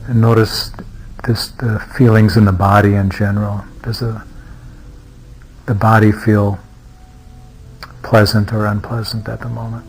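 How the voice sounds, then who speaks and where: Low, even-voiced man guiding meditation. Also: rough recording. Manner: unhurried, with long pauses and hesitant restarts. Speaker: meditation teacher. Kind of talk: guided meditation. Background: music.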